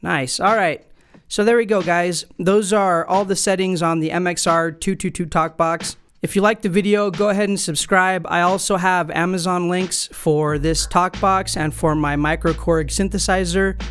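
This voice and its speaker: Relaxed man walking through gear settings. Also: sits high, normal speed, a little hiss or hum. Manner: relaxed, explains step by step with fillers. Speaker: music gear channel host. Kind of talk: casual gear tutorial.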